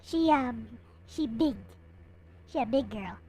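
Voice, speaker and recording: High-pitched woman, slow casual show-and-tell. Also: lively intonation, warm tone, husky. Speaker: vlogger showing collectible figures.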